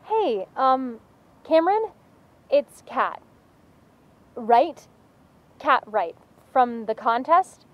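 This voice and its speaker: A woman's voice: high voice, very animated, very slow, pauses often, heavily acted. A woman playing a nervous, awkward young woman, hesitant and questioning on a phone call in an audio drama.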